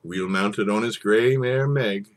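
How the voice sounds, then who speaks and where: A man with a husky voice, speaking as a storyteller and savouring his phrases with theatrical pauses. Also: on the low side. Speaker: storyteller and poetry reader. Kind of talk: informal introduction, then dramatic poetry recitation.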